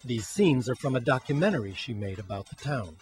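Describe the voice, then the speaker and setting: A man narrating a TV news feature as producer and reporter, in a measured scripted delivery. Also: medium pitch, lively intonation, faint music behind.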